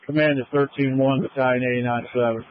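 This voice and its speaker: Flat-toned man on tinny command radio. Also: medium pitch, fast, smooth, dry recording. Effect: two-way radio. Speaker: fire incident commander. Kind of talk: emergency radio traffic. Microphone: radio handset. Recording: tinny narrowband fire radio transmission.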